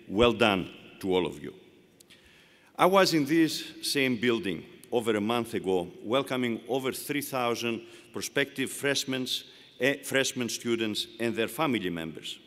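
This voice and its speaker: A man with a Greek accent giving a formal commencement speech, delivering measured phrases one at a time from a podium. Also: medium pitch, lively intonation, a bit bright. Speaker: university leader giving commencement address. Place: large hall. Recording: long reverb of a large hall.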